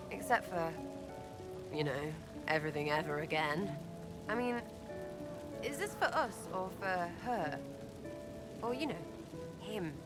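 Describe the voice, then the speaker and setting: Actress as a cheeky rogue, very animated. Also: female voice, on the low side, lightly acted. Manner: brash, slangy, jumpy. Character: cheeky, scrappy young female rogue. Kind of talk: dramatic game dialogue. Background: music.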